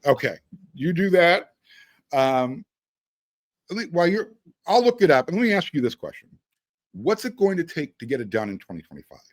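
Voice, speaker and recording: A man's voice: on the high side, sing-song, moderate pace, long pauses, no echo, studio quality. A man hosting a sports podcast, talking in a conversational way with emphatic phrasing. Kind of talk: casual sports talk with read-out sponsor spots.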